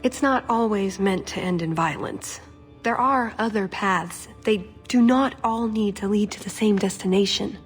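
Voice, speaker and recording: A young female elf from a video game voiced by a woman. She is earnest and quick-witted with a cheeky streak. Her mid-range voice rings in a hall, with faint music behind it. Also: mid-range voice, natural voice. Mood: earnest, reflective. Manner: earnest, lightly playful. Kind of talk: video game character acting.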